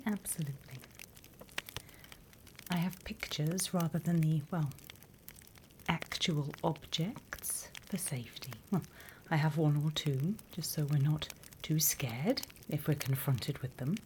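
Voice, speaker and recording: A British woman playing a sly, mock-stern demon teacher in a whispered ASMR roleplay, addressing her trainees with deliberate, teasing menace. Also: low-pitched, a little mellow, a little hiss or hum. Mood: wry, instructive.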